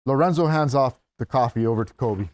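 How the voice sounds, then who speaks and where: A man's voice: medium pitch, brisk, a little mellow, no echo. A man reading stage directions as narrator at a script table read, in a scripted narration style.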